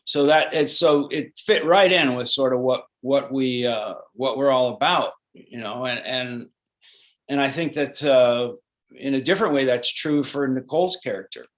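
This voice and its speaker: An actor being interviewed, talking in a rambling, thoughtful way with many restarts. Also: male voice, medium pitch, moderate pace, a bit bright. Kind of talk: casual interview.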